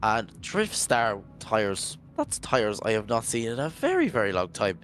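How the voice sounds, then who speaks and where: A Scottish man commentating a virtual drift event in a jokey, rambling way, his voice worn and going hoarse. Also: lowish voice, huge pitch swings, measured pace, a bit bright, soft background music. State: losing his voice. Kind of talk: live esports commentary.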